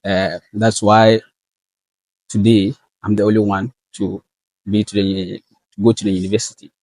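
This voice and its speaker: A young man from northern Ghana with a Ghanaian accent, answering interview questions in an earnest, measured way. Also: fairly low pitch, little pitch movement, darkish tone, no echo, low-quality recording. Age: young adult. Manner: earnest and measured, with frequent fillers. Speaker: guest, valedictorian student.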